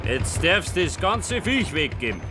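A man's voice: high voice, big pitch swings, unhurried, noisy background. An actor playing a defiant young Bavarian farmer's son who stands up to his father in a 1970s radio play, blunt and curt, with a Bavarian accent. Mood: reproachful. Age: young adult. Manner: blunt, defiant, short replies.

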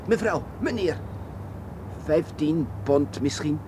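An actor playing a poor, ingratiating Egyptian driver who haggles in broken speech, in a radio drama. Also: male voice, fairly high pitch, expressive, takes it slowly, very noisy. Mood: wheedling. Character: poor wheedling Egyptian driver selling information.

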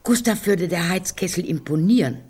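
Breathy, fretful old woman. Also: on the low side, expressive, normal speed, darkish tone. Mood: worried. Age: older. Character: fretful, plain-spoken elderly woman, defensive yet homely. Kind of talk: German radio drama acting.

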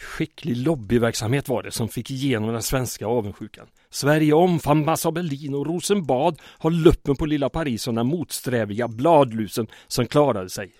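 A man's voice: mid-range voice, hardly any pauses, a little mellow, dry recording, clean studio sound, close to an everyday voice. A man playing a harried, sardonic small-town mayor under wartime occupation in a comic radio drama. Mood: diplomatic, irritated. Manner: wry, stammers when flustered.

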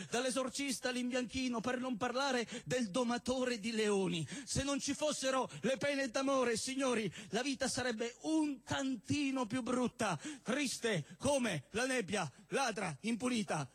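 A man performing satirical spoken-word poetry on stage in an emphatic, declamatory manner, stretching words and breaking into shouts. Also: very high voice, a little slow, hardly any pauses. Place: live stage at a cultural venue event.

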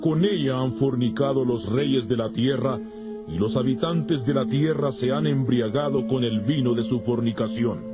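Man voicing a solemn angel over loud music. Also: mid-range voice, moderate pace, close to an everyday voice. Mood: commanding. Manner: solemn, declamatory. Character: solemn male angel revealing divine judgment and mysteries. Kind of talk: dramatized scripture audiobook acting.

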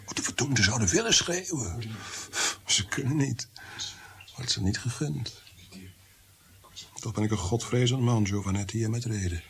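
An actor in a radio play playing a tormented, bitter, God-fearing prince-composer, brooding and theatrical, sometimes sinking to whispers. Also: male voice, medium pitch, lively intonation, normal speed, pauses often, a little hiss or hum, natural voice. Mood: brooding, anguished.

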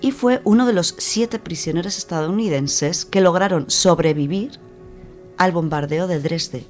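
A woman's voice: deep voice, darkish tone. A woman with an accent from Spain gives an informal radio talk in a conversational way, repeating words as she goes. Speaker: radio book-segment contributor. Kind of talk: informal spoken presentation.

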